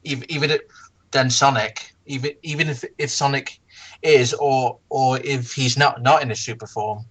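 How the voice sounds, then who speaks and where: A speaker in casual group commentary who talks haltingly, stammering and repeating words. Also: medium pitch, steady tone, a bit bright, rough recording.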